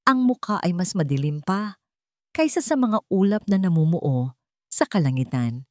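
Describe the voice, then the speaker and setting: A woman's voice: on the low side, very animated, normal speed, no room sound, studio quality. A woman with a Filipino accent narrating a scripted romance story.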